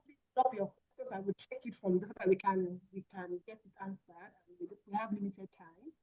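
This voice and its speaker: A woman with a Nigerian accent hosting an online session, chatty and joking with the audience. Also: fairly high pitch. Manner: lively, chatty, jokes with the audience. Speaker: webinar host. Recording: tinny phone-like sound.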